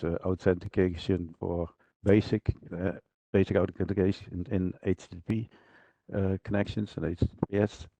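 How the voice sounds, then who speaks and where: A man giving an unscripted technical walkthrough as a product trainer, speaking haltingly in fragments, often hesitating and searching for words. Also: deep voice, warm tone. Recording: dull, roomy online presentation audio.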